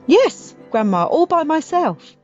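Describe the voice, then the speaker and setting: A woman's voice: high voice, very animated, slow pace, a little mellow, highs cut off, soft background music, voice pushed up, exaggerated voice. A woman voicing an exasperated, earnest young boy in a children's storybook, pleading and protesting anxiously. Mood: insistent, earnest.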